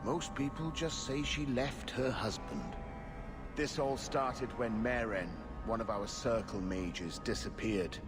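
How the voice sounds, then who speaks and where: An actor playing a weary, aging templar warrior in a video game, grave and formal, with music behind him. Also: male voice, mid-range voice, animated, slow pace. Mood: grave, earnest. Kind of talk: video game dialogue acting. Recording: reverberant hall with music behind.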